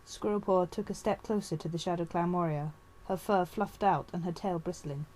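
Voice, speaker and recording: A woman's voice: on the low side, fairly even intonation, moderate pace, heavy background noise. A woman narrating a story as an amateur audiobook reader.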